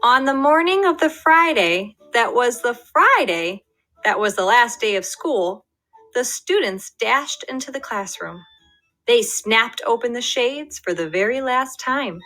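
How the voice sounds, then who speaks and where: A young woman teacher reading a storybook aloud to her first graders. Her voice is bright and high, with slow, lively, sing-song inflection. Faint music plays softly behind her. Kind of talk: reading a picture book aloud to children.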